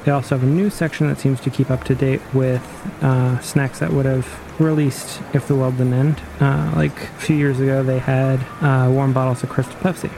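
A man playing a dry, matter-of-fact post-apocalyptic wayfinder in an audio drama, speaking in a measured, precise way. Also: mid-range voice, little pitch movement, creaky, noisy background, lightly acted. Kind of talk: audio drama interview storytelling.

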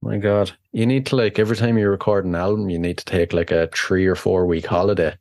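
A man hosting an interview, chatty and quick to laugh. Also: deep voice, steady tone, darkish tone, no room sound. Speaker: podcast host. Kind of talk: casual interview.

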